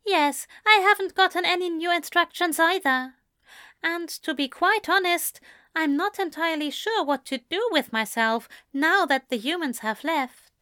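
A woman's voice: very high voice, normal speed, pushed and bright, dry recording, studio quality, voice pushed up. A woman voicing a prim, snippy male angel who is easily flustered and scandalised, in a fan fiction story reading. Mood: polite, uneasy. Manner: prissy, snippy, evasive.

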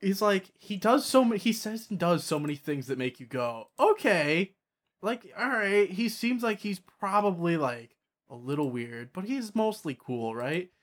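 A man's voice: sits high, animated, normal speed. Hesitant man in casual conversation. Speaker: podcast host. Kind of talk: casual chat about tabletop gaming.

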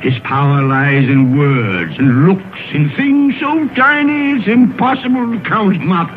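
Old miser, remorseful and wistful, slow theatrical delivery, highish voice, radio play, thin vintage broadcast sound. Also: male voice, lively intonation, narrow, tinny audio, a little hiss or hum. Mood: moved. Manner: theatrical, exclaiming, repeating words. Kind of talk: old-time radio drama acting. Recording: old radio broadcast, thin band-limited, music behind.

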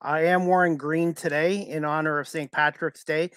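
A man hosting a casual podcast, talking in a halting way with many fillers and restarts. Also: a little high, steady tone, a bit bright, no room sound. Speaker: podcast host.

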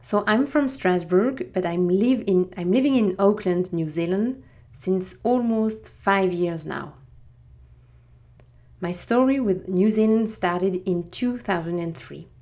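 Middle-aged French-accented woman, native French speaker, podcast host, slow and reflective storytelling. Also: medium pitch, warm tone. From France. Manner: unhurried, reflective, with frequent restarts.

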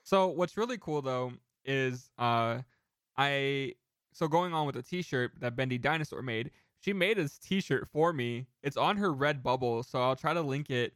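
A man co-hosting a casual podcast, telling rambling, animated anecdotes with some casual swearing. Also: medium pitch, measured pace, dry recording, studio quality. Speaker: podcast co-host.

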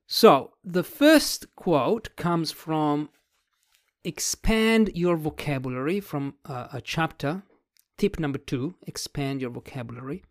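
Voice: mid-range voice, slow pace, dry recording. Vlogger thinking aloud, dry sound. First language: Italian. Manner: thinks aloud, searching for words. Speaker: writing vlogger. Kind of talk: casual explanatory talk about a book.

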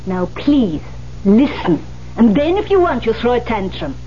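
A woman's voice: on the high side, sing-song, warm tone. Bossy scolding old woman, voice swoops up and down, old radio play with faint hiss. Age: older. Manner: scolding, insistent, deliberate, formal phrasing. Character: stern, commanding old woman, the pianist's mentor. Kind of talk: 1970s radio drama acting. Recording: muffled, hissy old radio broadcast.

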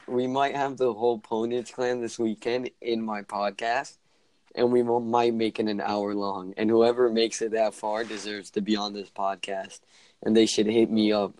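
A man's voice: fairly low pitch, flat delivery, unhurried, darkish tone, no room sound. A teenage boy hosting a casual podcast with friends over voice chat, often stumbling over his words and restarting. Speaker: podcast host.

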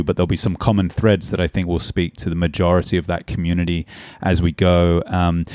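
A middle-aged man hosting a podcast, speaking earnestly and filling pauses with "um" and "uh". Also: low-pitched, a little mellow, no echo. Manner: earnest, heavy with "um" and "uh". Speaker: podcast host. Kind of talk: podcast intro and interview.

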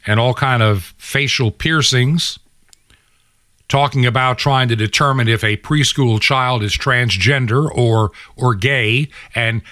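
A man's voice: medium pitch, a bit bright, no echo, studio quality. A man hosting a radio program, delivering opinionated monologue commentary in an indignant, preachy manner with rhetorical questions and emphatic repetition.

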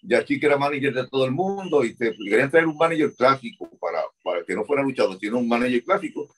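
A man's voice: mid-range voice, animated, fast talker, fairly bright tone, a little hiss or hum. An older man, a former wrestling manager answering interview questions and repeating words as he recalls.

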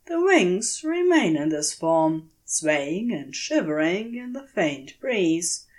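A woman reading a story aloud as a scripted fiction narration, with measured, expressive storytelling. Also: on the low side. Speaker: podfic narrator.

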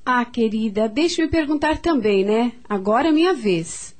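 Casting: actress as genteel Brazilian-accented society aunt, theatrical and gushing, fairly high voice, period radio play. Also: female voice, expressive, normal speed, darkish tone. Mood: eager. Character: elegant, sociable society hostess aunt in her thirties. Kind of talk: radio drama acting in a period story. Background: music.

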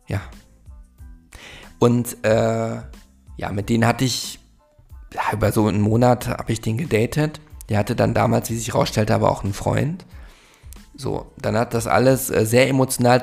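A man's voice: mid-range voice, gravelly, a little mellow. A man in his forties from Germany hosting a solo podcast, talking in a reflective, rambling way with many fillers. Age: 40s. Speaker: podcast host.

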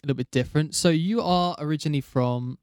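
Male host, smooth and dry. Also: on the high side, fast talker, a little mellow, no echo. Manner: steering questions, hesitant fillers. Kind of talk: casual interview.

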